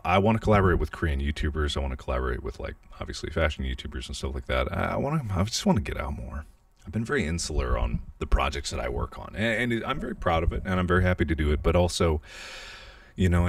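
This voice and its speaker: A man in his thirties chatting casually and quietly in a small bedroom, holding a handheld mic, rambling and self-deprecating. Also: rumbling low, no room sound, clean studio sound, recorded from a distance. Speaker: YouTuber. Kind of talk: casual vlog chat. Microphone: handheld podcast mic, held awkwardly.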